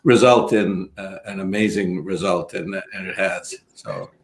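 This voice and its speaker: A man in his fifties from Sri Lanka, a financial advisor speaking as an interview guest over a video call, measured and reflective. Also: low voice, fairly even intonation. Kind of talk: interview conversation.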